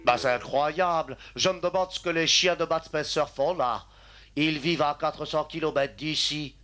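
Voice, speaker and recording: A man's voice: fairly high pitch, moderate pace, a bit bright, soft background music, lightly acted. A man playing a kindly, conscientious doctor, earnestly giving instructions in a French cartoon dub. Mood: concerned.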